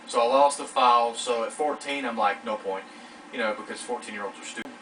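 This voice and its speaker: A man's voice: medium pitch, brisk, fairly bright tone, slight background noise. A man in his late teens chatting casually in a vlog, rambling in an informal, self-deprecating way. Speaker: vlogger. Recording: distant mic, clear music behind.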